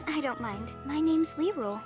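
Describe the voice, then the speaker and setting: A woman voicing a gentle, caring, shy young village girl in an English anime dub, with a solicitous, easily flustered manner. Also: sits high, lively intonation, normal speed, music in the background.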